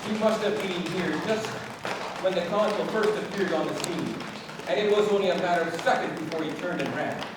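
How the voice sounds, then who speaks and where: Radio play casting: exasperated Englishman male actor, high voice, old-fashioned indignation, noisy distant recording. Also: fairly quick, a loud crowd, lightly acted. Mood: anxious, searching. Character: excitable, exasperated English gentleman hunting stolen pearls. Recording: echoey room, distant mic, clear background noise.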